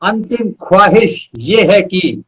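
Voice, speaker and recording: A man's voice: high voice, lively intonation, slow, a little hiss or hum. A man, a poet being interviewed, speaking earnestly and reciting with emphatic repetition. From India.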